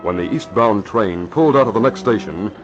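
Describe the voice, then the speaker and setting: A man's voice: fairly low pitch, animated, fairly quick, over music. A man narrating an old-time radio drama in a dramatic, scripted style. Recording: muffled, noisy old radio recording.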